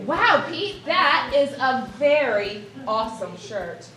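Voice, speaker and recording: Woman librarian, very high voice, slow delivery, echoey hall, kids chattering. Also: a crowd in the background. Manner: animated, talking to kids, counting aloud. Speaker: children's librarian. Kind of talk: live puppet show for children. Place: large library room with children. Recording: echoey room from some distance, kids around.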